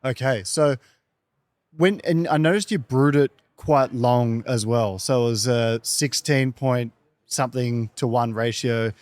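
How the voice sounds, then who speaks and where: A man's voice: medium pitch, unhurried, a little mellow, no room sound. A man hosting a show, interviewing a guest in a conversational style.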